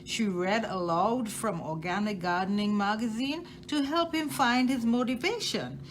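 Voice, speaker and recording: A woman reading a children's picture book aloud expressively, with deliberate phrasing and emphasis on key words. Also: medium pitch, a little hiss or hum. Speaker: storytime reader at a library.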